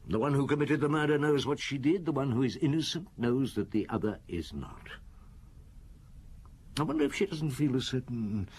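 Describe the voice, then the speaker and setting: An actor playing a shrewd middle-aged police chief in a radio drama, interrogating a suspect in an unhurried, cutting way with a husky voice. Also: male voice, medium pitch.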